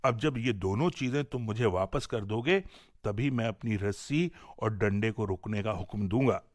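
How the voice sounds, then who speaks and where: A man's voice: fairly low pitch, normal speed, no echo, clean studio sound, natural voice. A man voicing a simple-hearted, wronged man in a folk tale, speaking in an earnest, reproachful and commanding way.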